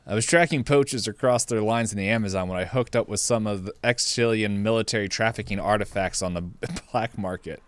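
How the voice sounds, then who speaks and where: A man's voice: fairly low pitch, a little fast, hardly any pauses, no room sound. A man co-hosting a podcast, chatting casually about movies and retelling things in a jokey way with laughter in his speech. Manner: jokey, laughs through his own telling. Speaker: podcast co-host.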